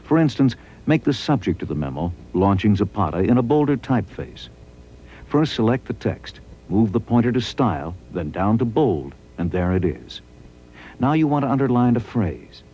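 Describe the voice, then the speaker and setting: A man reading scripted promotional training narration in a measured, instructional way. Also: fairly low pitch, big pitch swings, dark tone, slightly rough.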